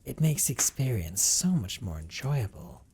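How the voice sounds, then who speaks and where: A man voicing a centuries-old vampire captor in an intimate audio roleplay, speaking with hushed, theatrical condescension and often dropping toward a whisper. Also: mid-range voice, sing-song, normal speed, slight background noise. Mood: teasing, savoring. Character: centuries-old male vampire captor, teasing, dominant, wistful.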